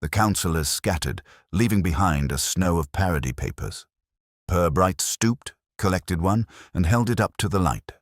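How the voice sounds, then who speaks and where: A man's voice: deep voice, lively intonation, normal speed, dry recording, clean studio sound. A man reading a mystery story as a scripted audiobook narration.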